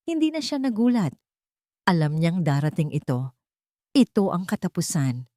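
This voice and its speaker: Female storyteller, lowish voice, lively swings in pitch, dramatic scripted narration, close dry sound. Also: moderate pace, no room sound.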